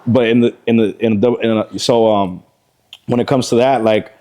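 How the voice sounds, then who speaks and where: A man's voice: lowish voice, steady tone, normal speed, warm tone. A man, a rapper, talking casually in an interview, slangy and full of "like" and "you know what I mean.". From Southern California. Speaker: rapper guest.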